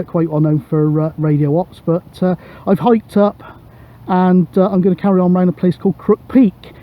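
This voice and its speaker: A man's voice: sits high, lo-fi sound. A British man chatting casually outdoors in an easygoing, colloquial way. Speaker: vlogger and CB radio operator. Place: outdoors on a grassy hilltop.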